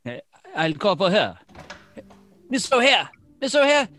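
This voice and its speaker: A man's voice: high-pitched, sing-song, a little slow, sharp tone, soft background music, exaggerated voice. Man doing an eager police sergeant sidekick. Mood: eager, helpful. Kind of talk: amateur radio mystery acting.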